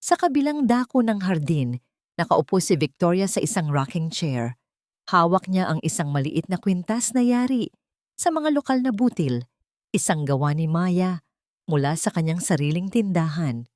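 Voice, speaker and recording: Female narrator for romantic audio stories: lowish voice, solemn sentimental reading, very little room sound. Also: expressive, normal speed, a little mellow, dry recording, clean studio sound. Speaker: storyteller. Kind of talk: scripted dramatic narration of a romance story.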